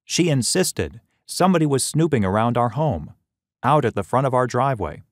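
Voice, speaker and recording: Male audiobook narrator, mid-pitched, measured and expressive storyteller, very dry studio sound. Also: no echo. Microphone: close studio microphone.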